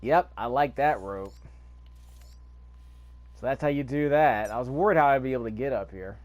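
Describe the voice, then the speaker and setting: A man's voice: mid-range voice, moderate pace. Man commentating casually over gameplay. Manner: musing aloud, repeats phrases. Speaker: gaming video host. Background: faint video game ambience.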